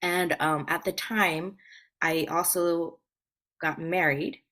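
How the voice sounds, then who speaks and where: A woman's voice: on the low side, steady tone, takes it slowly, fairly bright tone. An American woman in her thirties from Hawaii, telling stories casually as an interview guest in an informal, rambling, self-effacing way. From Hawaii, United States. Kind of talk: casual conversational storytelling.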